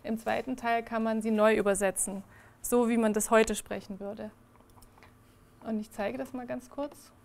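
A young woman presents her projects to a small audience in an indoor room, with a slightly high voice. She talks haltingly, with frequent fillers and restarts. The sound is picked up by a room mic at some distance. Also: steady tone, creaky. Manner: hesitant, many fillers and restarts. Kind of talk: presentation talk.

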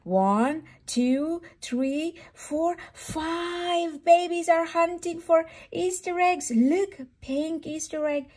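A woman's voice: very high voice, drawn-out words, hardly any pauses, a little mellow. A woman reading a picture book aloud to toddlers as a storytime host, in a sing-song, exclaiming manner with simple questions for a small child.

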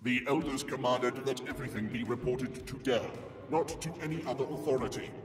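A calm, obedient sci-fi machine intelligence, played by a man, speaking through a robotic effect in a long reverb. The delivery is measured and emotionless, with clear music underneath. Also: mid-range voice. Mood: calm, detached.

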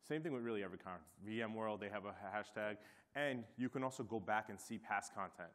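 A man's voice: on the low side, fast, fairly bright tone. A man presenting tips to a conference audience in an upbeat, chatty way. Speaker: presenter, host of the session. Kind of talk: conference panel talk.

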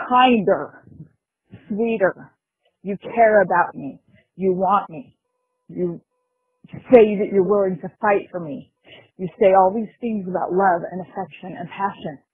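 A thin phone-line recording carries a woman's personal voicemail. Her voice is husky and mid-pitched, talking informally to one listener. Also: slow pace, long pauses, no echo.

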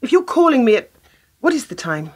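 A woman's voice: sits high, sing-song, fairly quick, darkish tone. An actress playing a wary, sardonic young working woman in a radio play, curt, dry and challenging. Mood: irritated, sardonic.

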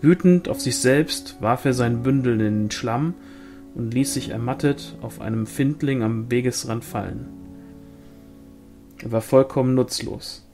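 A man's voice: medium pitch, unhurried, darkish tone. Male audiobook reader, medium register, scripted fantasy narration over a music bed.

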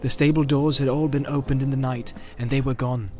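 A man's voice: medium pitch, fairly even intonation, a little fast, warm tone. A man narrating an audiobook in a dramatic storytelling style.

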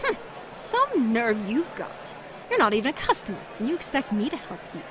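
Woman playing young female street vendor, pushy, sassy, visual novel character, swooping high-ish pitch, drawn-out emphasis, noisy ambience. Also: wildly swooping pitch, a crowd in the background. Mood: sour, skeptical. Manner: emphatic, drawn-out, theatrical delivery. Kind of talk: acted visual novel dialogue. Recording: clearly noisy background ambience.

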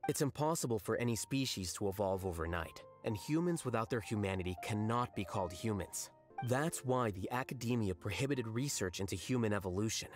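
A man playing an aloof, blunt, rational young scholar in a video game, speaking in a deadpan, measured and formal way. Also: lowish voice, soft background music, close to an everyday voice. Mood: detached.

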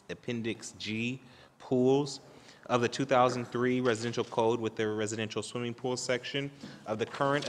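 A man serving as council president, polite and procedural, reading ordinance text aloud into a desk microphone. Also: mid-range voice. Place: large city council chamber. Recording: long reverb of a large chamber.

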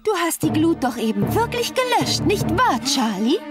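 A woman voicing a caring, brisk mother in a children's cartoon, expressive and motherly. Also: high-pitched, loud music behind the voice. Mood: questioning.

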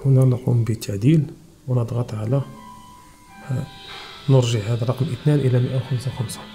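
A man's voice: mid-range voice, fairly even intonation, quick pace, mellow, faint music behind. A Moroccan man with a Moroccan Arabic accent, hosting a technical tutorial and explaining each step of a device setup. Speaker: channel host.

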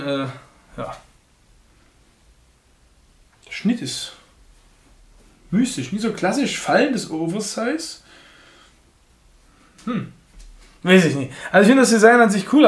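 A young man chats his way through an unboxing in German with a slight foreign lilt. His voice sits a little high, and his talk is frank and easygoing, often poking fun at himself. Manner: chatty, frank, laughs at himself. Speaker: unboxing reviewer. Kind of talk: casual unboxing commentary.

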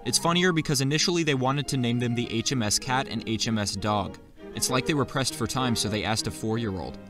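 A man's voice: medium pitch, a little fast. A man narrating a scripted history video with sarcastic, jokey asides. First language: not German. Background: faint background music.

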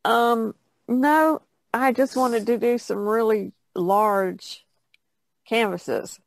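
Very creaky-voiced woman chatting casually. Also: on the high side, steady tone, drawn-out words. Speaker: painter, podcast guest. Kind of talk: casual chat about painting.

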